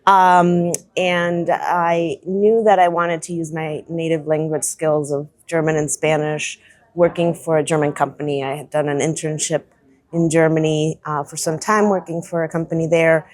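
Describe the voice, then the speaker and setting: A woman's voice: sits low, level tone, normal speed, a little mellow. A woman in her forties, a guest on a trade show podcast, talking thoughtfully in a casual interview and often restarting her sentences. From Chicago area, US.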